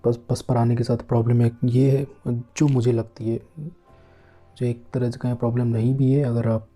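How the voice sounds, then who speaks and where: A man giving casual unboxing commentary as a product vlogger, speaking haltingly in short broken phrases. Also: medium pitch, flat delivery, dark tone. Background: background music.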